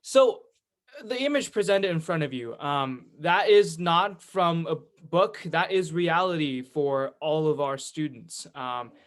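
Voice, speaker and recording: Teen guy, kinda high voice, telling stories in an online presentation, chatty and into it. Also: unhurried. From California, United States. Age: teenager. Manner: chatty, enthusiastic storytelling. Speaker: student presenter, education executive of a youth charity.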